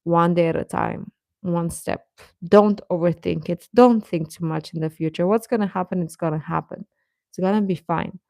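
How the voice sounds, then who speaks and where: A woman's voice: lowish voice, steady tone, normal speed, gravelly, dark tone, no echo, clean studio sound. A woman chatting casually on a livestream, giving earnest, encouraging advice and repeating phrases for emphasis in a reassuring way. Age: adult. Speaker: live streamer giving advice to teenage viewers.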